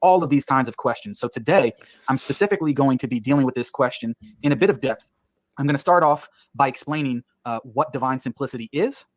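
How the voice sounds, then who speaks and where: A man with a mid-pitched voice gives a prepared lecture, walking through each point in order. His delivery is steady and explanatory. The video plays back through a stream with dry, close sound. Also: quick pace, dry recording. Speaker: online lecturer.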